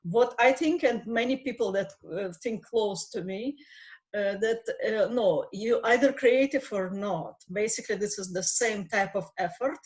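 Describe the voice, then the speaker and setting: A woman whose first language is Russian speaks up on a video call. She talks earnestly and pauses to search for the right words, in a voice of middling pitch. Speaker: participant. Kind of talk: casual online discussion.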